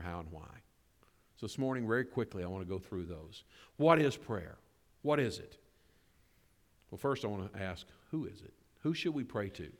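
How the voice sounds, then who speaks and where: A middle-aged man preaching a sermon in a church, conversational and animated, drawing responses from the congregation. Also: in a large hall. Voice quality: bass voice. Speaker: pastor.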